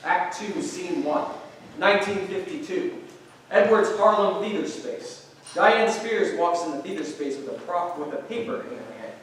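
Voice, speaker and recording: A man narrates stage directions from a script. His voice is fairly high and sounds distant, in a very echoey room with faint noise. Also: expressive, normal speed, a bit bright.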